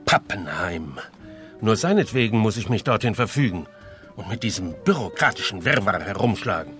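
An actor playing a disdainful, complaining intellectual in a scornful, theatrical radio drama manner. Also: male voice, on the low side, very animated, normal speed, fairly bright tone, faint music behind. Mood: aggrieved.

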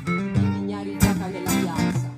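A woman's voice: fairly low pitch, sing-song, normal speed. A woman giving an emphatic, dramatic spoken-word recitation over guitar at a live outdoor festival. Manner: declamatory, emphatic. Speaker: performer in a women's choir show. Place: outdoor festival in an olive grove. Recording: live performance with loud guitar backing. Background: plucked acoustic guitar.

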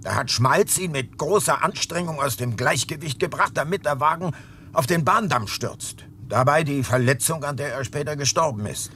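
An actor playing a dogged, wry private detective talking in a dry, matter-of-fact way in a crime radio drama. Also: male voice, fairly high pitch, moderate pace, sharp tone. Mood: explaining, intent. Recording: noisy background ambience.

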